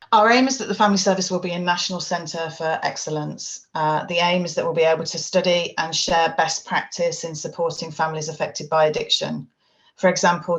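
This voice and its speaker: Low, flat, gravelly-voiced woman presenter. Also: rough recording. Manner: measured, steady delivery of prepared remarks. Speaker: charity chief executive presenting. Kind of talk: webinar presentation.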